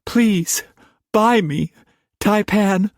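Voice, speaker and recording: Man doing a high shrill young woman, pleading, distraught, dry close sound. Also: drawn-out words, no echo, heavily acted. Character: desperate young woman, twenty, pleading, tearful.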